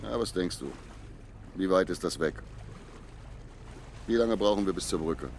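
An actor playing a gruff, grumbling barge skipper in a radio play, blunt and colloquial. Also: male voice, sits low, measured pace. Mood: dry, teasing. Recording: loud boat engine and water noise.

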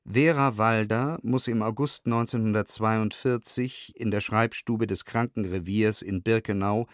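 Unhurried man narrator, dry studio sound. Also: on the low side, no room sound. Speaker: narrator reading historical documents. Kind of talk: scripted documentary reading.

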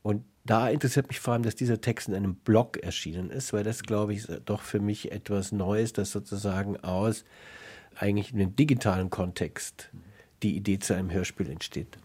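A man's voice: on the low side, fairly quick, darkish tone, husky. A man moderating a radio interview in a considered, explanatory way.

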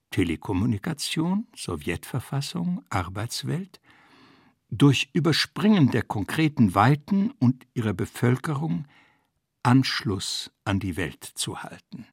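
Husky, creaky man narrating, very dry sound. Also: mid-range voice, no room sound. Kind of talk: scripted literary narration.

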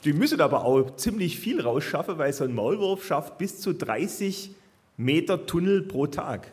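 A man's voice: fairly high pitch, big pitch swings, normal speed, a little mellow. A man speaking as a zoologist guest, explaining animal facts to children informally and matter-of-factly.

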